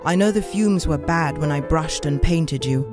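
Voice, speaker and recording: A woman voicing a patient, kindly but firm master potter in a storybook, speaking in a measured way. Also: low voice, music in the background, pitched below the performer's own voice, natural voice. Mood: gentle, patient.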